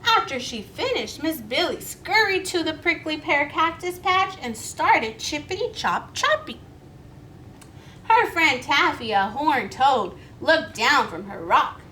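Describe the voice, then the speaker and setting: A woman's voice: sits high, very animated, slow, bright, forceful tone, slight background noise. A woman reading a children's story aloud expressively, inviting young listeners to join in. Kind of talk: animated children's read-aloud.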